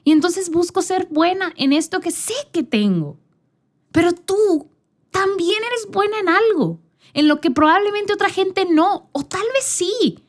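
A woman's voice: very high-pitched, expressive, measured pace. A woman podcast host giving a casual, personal faith talk, conversational and earnest, speaking directly to the listener. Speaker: podcast host and church singer.

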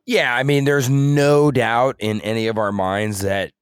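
A man's voice: medium pitch, little pitch movement, a little slow, clean tone, no room sound, clean studio sound. A man co-hosting a podcast in casual discussion, explaining things in a rambling, hedging way. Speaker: podcast co-host.

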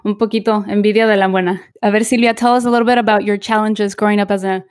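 A woman's voice: medium pitch, fairly even intonation, racing. A woman from Northern California hosting an interview podcast, reading the guest's introduction and asking questions in a chatty way with Spanish interjections. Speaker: podcast host. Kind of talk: interview conversation.

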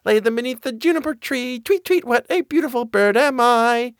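A man voicing a magical singing bird with the spirit of a boy, in a high singsong storybook verse. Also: normal speed, dry recording, clean studio sound. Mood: plaintive singing.

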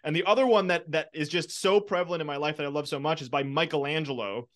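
A man's voice: a little high, fast talker, fairly bright tone. A man hosting a podcast, reflective and enthusiastic as he shares ideas. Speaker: podcast host. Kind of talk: podcast interview.

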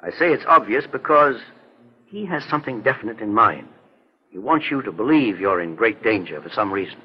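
Calm, authoritative investigator, slightly rough voice, deliberate delivery, dulled vintage radio sound. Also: male voice, lowish voice. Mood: grave, deliberate. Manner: measured, earnest, explanatory. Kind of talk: 1940s radio detective drama acting. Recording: muffled vintage 1940s radio recording.

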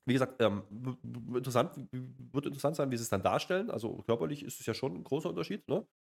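A man's voice: medium pitch, rapid-fire, creaky, breathy edge. A man co-hosting a wrestling review in casual, unscripted banter, with a sarcastic, mock-enthusiastic way of recapping. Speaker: wrestling show review co-host.

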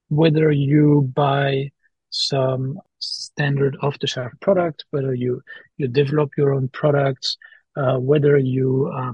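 A man's voice: mid-range voice, a little mellow, breathy edge, dry recording, muffled recording. A man hosting a podcast in casual chat, often repeating words and tagging his points with "right?". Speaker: podcast host.

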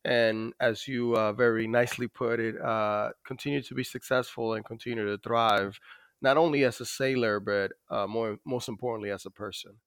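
Dominican-accented man, smooth and dry. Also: medium pitch, fairly even intonation, a bit bright, no echo. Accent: Dominican Spanish. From Dominican Republic. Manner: halting, many fillers. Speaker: guest, Navy master chief. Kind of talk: interview storytelling.

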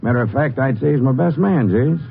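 An actor playing an easygoing middle-aged county sheriff in a 1950s radio drama, with a folksy drawl. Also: male voice, mid-range voice, brisk, creaky, close to an everyday voice. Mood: defensive. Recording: tinny lo-fi old radio broadcast with hiss.

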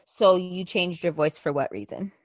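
A woman's voice: fairly low pitch, normal speed, darkish tone, dry recording, clean studio sound. A woman in her thirties co-hosting a podcast, bantering and telling stories in a blunt, teasing, casual way. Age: 30s. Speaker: podcast co-host. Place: remote video call.

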